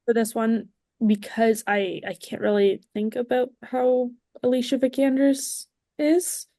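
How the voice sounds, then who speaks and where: A woman's voice: fairly high pitch, little pitch movement, unhurried, mellow, dry recording. Woman guesting on a podcast, dry sound. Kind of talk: casual chat.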